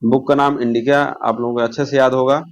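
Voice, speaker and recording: A man's voice: medium pitch, level tone, brisk. Man teaching exam revision. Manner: repeats key terms, questions and answers himself. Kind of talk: informal exam revision lesson.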